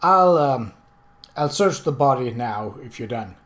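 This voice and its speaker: A man's voice: mid-range voice, expressive, unhurried, a bit bright. An earnest police constable from an audiobook mystery, acted out by a male reader. He sounds blunt and emphatic, with an anxious temper. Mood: flustered.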